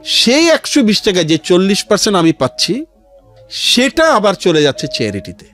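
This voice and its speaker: A Bangladeshi man with a fairly high voice speaks in an earnest, explanatory way, as a guest telling his story on radio. His delivery swings widely in pitch and slips into English phrases, with music behind him. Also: wildly swooping pitch, normal speed, fairly bright tone. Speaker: interview guest, founder of a charity resale platform. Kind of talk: conversational storytelling in a radio interview. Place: radio studio.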